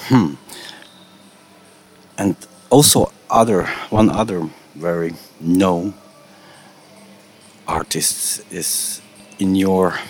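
Slow, very low-voiced male radio interviewer. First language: Slovenian. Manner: slow, halting questions in careful non-native English.

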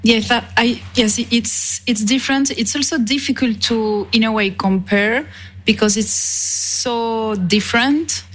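A woman's voice: a little high, unhurried. A woman from Switzerland, a non-native English speaker, answering as a musician in a reflective interview, repeating and rephrasing as she searches for words. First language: not English.

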